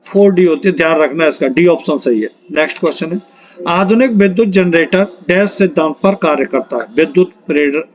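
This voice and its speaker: A man with a high-pitched voice works as an exam-prep teacher on a dull, lo-fi recording. He reads out multiple-choice questions and their options in an instructional manner, then states which one is correct. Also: a little fast. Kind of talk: quiz lesson, reading questions and options aloud.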